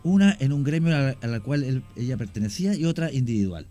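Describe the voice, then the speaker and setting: A middle-aged man with a Chilean accent, a guest on a radio show, telling stories slowly with many pauses and restarts. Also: medium pitch, lively intonation, warm tone, faint music behind. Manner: unhurried, many fillers and restarts, anecdotal. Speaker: guest storyteller. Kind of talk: radio conversation, recounting cases.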